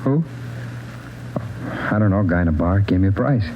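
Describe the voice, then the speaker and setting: An American actor playing a jittery, evasive addict drifter who stammers and repeats questions back, in a 1940s radio crime drama. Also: male voice, low-pitched, lively intonation, normal speed. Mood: evasive, nervous. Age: 30s. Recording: muffled, noisy old radio recording.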